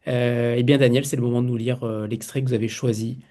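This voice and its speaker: A man's voice: mid-range voice, flat delivery, quick pace, dark tone. A man hosting a literary interview over a video call, asking questions with frequent hesitations. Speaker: host.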